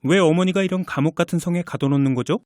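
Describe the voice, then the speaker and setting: A man's voice: a little high, fast talker, a little mellow, no room sound, studio quality, close to an everyday voice. A man voicing a confident, kind young prince in a children's fairy tale, earnest and assured. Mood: indignant, earnest.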